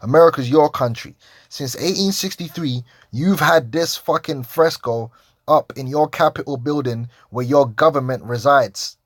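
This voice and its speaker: A man's voice: mid-range voice, moderate pace, dry recording. A man giving confrontational religious commentary as a Hebrew Israelite preacher, challenging and profane, with many repeated restarts.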